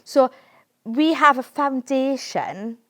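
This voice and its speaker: A woman's voice: high voice, lively intonation, takes it slowly. A middle-aged Welsh woman hosting a casual political discussion, earnest and emphatic, often checking in with "right?". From Wales.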